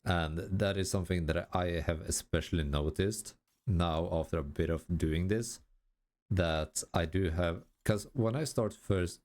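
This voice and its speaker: Low-voiced Swedish man chatting over gameplay. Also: a little mellow. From Sweden. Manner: rambling, halting, self-correcting, laughs at himself. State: tired. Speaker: gaming YouTuber. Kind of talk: casual commentary while gaming.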